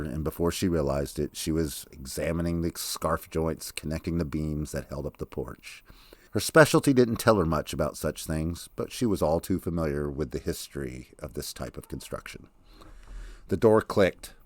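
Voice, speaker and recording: A man's voice: very deep voice, no room sound. A man reading scripted audiobook fiction as its narrator. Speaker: author reading own audiobook.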